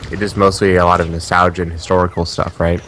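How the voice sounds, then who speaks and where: A man's voice: low voice, little pitch movement, fast, fairly bright tone, slight background noise. A man giving casual, offhand, teasing commentary as co-host of a gaming stream. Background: video game music.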